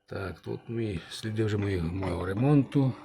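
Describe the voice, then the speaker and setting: A man giving a casual hands-on review as a channel host, halting and thinking aloud, muttering remarks to himself. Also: on the low side, creaky, mellow, a little hiss or hum. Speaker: channel host, radio repairer.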